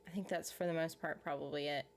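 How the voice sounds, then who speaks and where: A woman's voice: sits low, fairly even intonation, fast talker, slight background noise. A woman with a Southern US accent who hosts a reaction channel, chatting casually and rambling as she answers her viewers' comments. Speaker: YouTube reaction host. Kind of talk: casual live chat with viewers.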